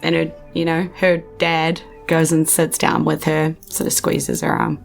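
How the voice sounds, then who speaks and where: A woman with a New Zealand accent narrating a tabletop game as game master, in a relaxed, casual way. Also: deep voice, a little slow, creaky, soft background music. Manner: relaxed narration with many "sort of" and "like".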